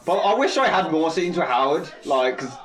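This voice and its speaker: A man's voice: on the high side, lively intonation, normal speed, a bit bright. Man chatting casually through a film commentary. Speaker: filmmaker and actor on a cast commentary.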